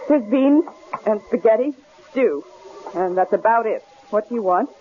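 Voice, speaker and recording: A woman playing a frightened but spirited wife in a radio drama, speaking haltingly and nervously. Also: fairly high pitch, expressive, lightly acted. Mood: guarded. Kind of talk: 1970s radio drama acting. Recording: noisy, dull 1970s radio broadcast.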